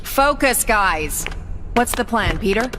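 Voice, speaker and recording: An actress playing a stern, dry alien assassin in a video game. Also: female voice, on the high side, lively intonation, takes it slowly, noisy background. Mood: dry impatience. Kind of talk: animated game cutscene.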